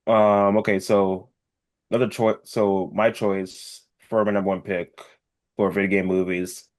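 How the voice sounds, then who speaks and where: A man's voice: fairly low pitch, level tone, measured pace. A man co-hosting a podcast, chatting informally and emphatically with some mild swearing. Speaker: podcast co-host. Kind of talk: casual chat.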